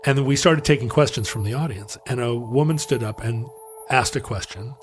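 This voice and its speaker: Man, podcast co-host, mid-pitched, meandering anecdotal delivery, soft music bed. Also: fairly quick. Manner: rambling, self-correcting anecdotes. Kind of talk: casual chat, storytelling.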